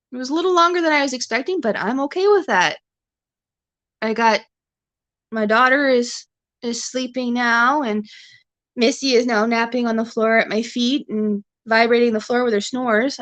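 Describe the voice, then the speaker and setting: A woman's voice: on the high side, a bit bright, no echo. A Canadian woman in her forties chatting casually with viewers on a livestream, rambling with many restarts and fillers. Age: 40s. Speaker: livestream host. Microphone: webcam or phone.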